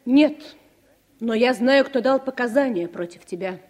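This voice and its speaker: A woman's voice: on the high side, a little slow, darkish tone. An actress playing a forthright, teasing woman in Soviet radio drama style, wry and playful. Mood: urgent.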